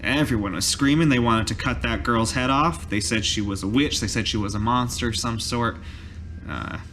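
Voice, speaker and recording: A man voicing a weary village cobbler in a tabletop roleplay, telling his story in a halting, folksy way. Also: medium pitch, fairly bright tone, a little hiss or hum, close to an everyday voice. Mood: somber, haunted. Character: weary male village cobbler recalling a massacre. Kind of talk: in-character tabletop roleplay monologue. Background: background music.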